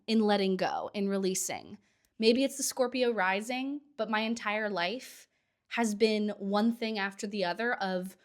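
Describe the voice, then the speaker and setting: A woman's voice: mid-range voice, bright, forceful tone. A woman in her thirties from Southern California hosting a casual solo podcast, chatty and enthusiastic, her voice still recovering from a cold. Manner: chatty, enthusiastic, with short laughs. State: getting over a cold. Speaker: podcast host.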